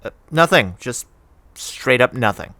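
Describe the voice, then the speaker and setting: Awkward, lively helpful friend. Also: male voice, mid-range voice, no room sound. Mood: sheepish. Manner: hesitant, halting. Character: awkward, helpful male friend, nervous and earnest. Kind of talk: conversational audio drama acting.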